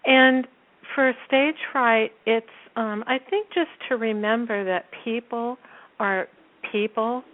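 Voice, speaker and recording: A woman guest with a naturally soft voice, talking casually in an interview and laughing often. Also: on the high side, fairly even intonation, slow pace. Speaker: guest, performance coach. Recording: tinny phone line.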